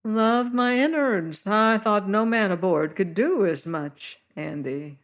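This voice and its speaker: A reader voicing a fat, sly sailor man who talks in a soft, high-pitched, falsely friendly voice in old sea dialect. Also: slow pace. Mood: oily, wheedling.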